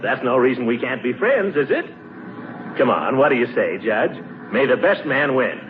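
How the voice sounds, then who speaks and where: An actor playing a pompous, jovial middle-aged uncle, a hearty teasing blowhard in 1940s radio comedy style. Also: male voice, medium pitch, big pitch swings, moderate pace, close to an everyday voice. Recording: noisy, muffled 1940s radio broadcast.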